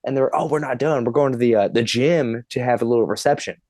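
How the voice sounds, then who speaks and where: Animated young man telling a story. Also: mid-range voice, quick pace, dry recording, studio quality. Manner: animated, laughing, swearing storyteller. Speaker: podcast guest, drummer. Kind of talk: casual storytelling chat.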